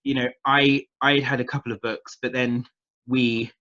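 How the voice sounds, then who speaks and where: A man's voice: medium pitch, flat delivery, normal speed, bright, forceful tone. Rambling southern English man, dull audio. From England, near London. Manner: rambling, hesitant, hedging. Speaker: interview guest, witchcraft practitioner. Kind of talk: casual interview chat.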